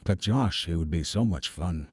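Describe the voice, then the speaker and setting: A man putting on a deep, husky, older movie-narrator voice through a voice changer, playful and teasing. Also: lively intonation, moderate pace, darkish tone, no echo, studio quality.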